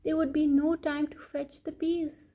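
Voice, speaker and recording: A woman reading a bedtime story aloud for children as a storyteller, addressing them directly in a deliberate way. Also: high-pitched, flat delivery, dark tone. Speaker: children's storyteller and channel host.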